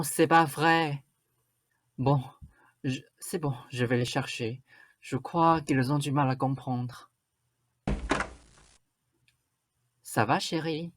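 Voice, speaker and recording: A bossy, vain stepmother played by a woman in a radio play. She speaks slowly, with scolding insistence, as if nobody around her understands. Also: low-pitched, noisy background. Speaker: student actor. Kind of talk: radio drama acting.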